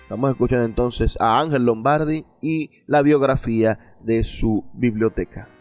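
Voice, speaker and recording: A man's voice: medium pitch, moderate pace, darkish tone, dry recording, faint music behind. A Venezuelan man presenting a radio program with eloquent, rhetorical phrasing built on repetition. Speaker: radio host. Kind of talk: radio presenting, flowery scripted-style narration.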